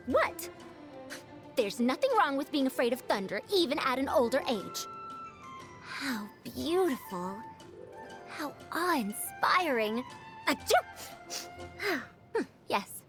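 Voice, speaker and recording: A woman's voice: on the high side, fairly bright tone. A woman voicing a proud, fussy female scholar in a video game, old in years but youthful, lecturing in a prim, pompous manner. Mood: startled, defensive, then musing, fussy. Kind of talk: scripted video game character lines.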